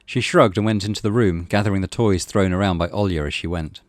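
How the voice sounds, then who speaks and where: A man reading visual novel narration as a gaming host, in an expressive, dramatic way. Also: low-pitched, fast talker, no echo, studio quality. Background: music.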